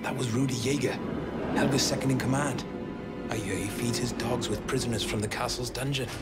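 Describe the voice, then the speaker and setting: An actor playing a dry, witty, seasoned British spy in a video game, with a British accent and a clipped, understated manner. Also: male voice, medium pitch, steady tone, fairly quick, close to an everyday voice. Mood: grim, informative. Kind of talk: video game cutscene acting. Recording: loud music and vehicle behind.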